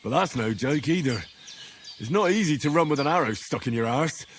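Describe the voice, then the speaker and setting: A man's voice: a little high, animated, fairly quick, a little hiss or hum, lightly acted. A man voicing a modest young commoner hero. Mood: wry, teasing. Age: young adult. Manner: plain-spoken, dry humor. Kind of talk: video game cutscene acting.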